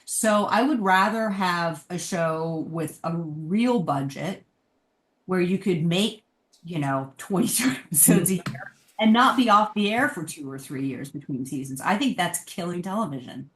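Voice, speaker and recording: A low-voiced woman chats as a guest on a panel show. She gives her opinions in a measured, thoughtful way, and the room around her echoes noticeably. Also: lively intonation. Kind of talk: casual panel discussion. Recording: roomy, echoing sound.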